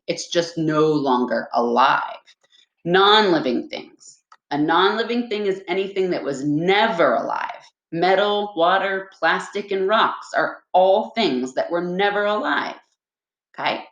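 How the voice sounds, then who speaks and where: Low-voiced woman teacher in an echoey room. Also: lo-fi sound. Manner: instructional, addresses children directly with check-in questions. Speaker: elementary school teacher. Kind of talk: read-aloud lesson for young children.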